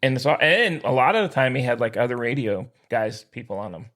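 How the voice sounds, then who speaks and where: A man's voice: medium pitch, a little fast, a bit bright. A man co-hosting a casual podcast, chatting in an enthusiastic, jokey manner. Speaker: podcast co-host. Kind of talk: casual sports and pop culture chat.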